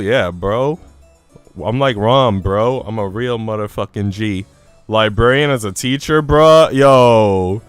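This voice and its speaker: Clear-voiced man streaming casually over music. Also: mid-range voice, a bit bright, no room sound. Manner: slangy, profane, joking. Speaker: live streamer. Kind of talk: casual chat while streaming a visual novel.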